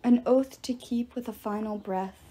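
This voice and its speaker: Teenage girl seer played by a woman: solemn, resolute, soft, measured, storybook fantasy. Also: a little high, fairly even intonation, breathy edge. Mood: eerie, chanting. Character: earnest, resolute teenage girl turned oracle.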